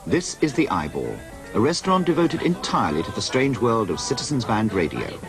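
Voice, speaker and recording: A man's voice: medium pitch, very animated, brisk, music in the background. A man narrating a scripted television news feature as a reporter.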